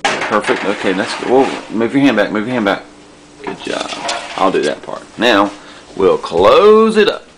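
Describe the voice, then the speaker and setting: A man filming in a home kitchen, gently coaching and asking playful questions from behind the camera. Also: on the low side, sing-song, unhurried, background noise. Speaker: parent filming a child's cooking show. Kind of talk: casual home video chat. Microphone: handheld camcorder mic, off screen behind camera.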